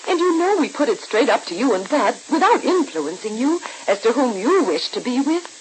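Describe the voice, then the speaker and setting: A woman's voice: on the high side, big pitch swings, normal speed, low-quality recording. An actress playing an earnest, worried stepmother who pleads affectionately in an old radio soap opera. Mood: pleading. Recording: hissy old-time radio recording.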